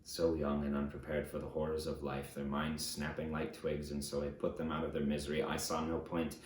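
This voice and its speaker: A man reading an audiobook as a remorseful fallen conqueror, confessing his atrocities in a grave, measured, emphatic way. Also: a bass voice, monotone, echoey room. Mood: grim, subdued. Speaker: audiobook narrator. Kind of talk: dramatic first-person audiobook reading.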